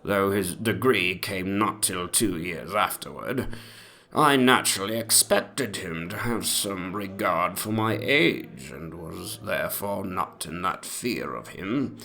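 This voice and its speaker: Man playing a slow, pompous old English gentleman memoirist. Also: deep voice, lively intonation, gravelly, exaggerated voice. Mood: fastidious, haughty. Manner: ornate, pompous, deliberate storytelling. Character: very old, antique English gentleman memoirist, genteel and self-important. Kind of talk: dramatic reading of a mock-antiquarian memoir.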